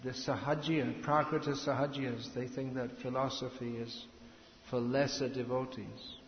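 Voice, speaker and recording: A man giving a slow philosophical spiritual lecture, halting and restarting his sentences. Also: medium pitch, flat delivery. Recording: echoey hall, lo-fi with faint noise.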